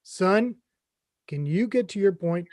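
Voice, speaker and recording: Eager, clueless middle-aged stepdad type, played by a man, high for a male, earnest comedy read, dry recording. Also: lively intonation, takes it slowly, a little mellow, no echo. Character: Craig, mom's 55-year-old boyfriend, clueless, eager, chummy. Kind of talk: comedy script table read.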